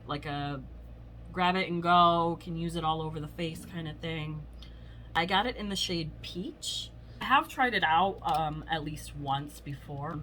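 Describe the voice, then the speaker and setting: Hoarse low-voiced woman reviewing makeup. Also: fairly bright tone, slight background noise. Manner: chatty, rambling with many fillers. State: hoarse from illness. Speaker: beauty vlogger. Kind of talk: casual product review. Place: home.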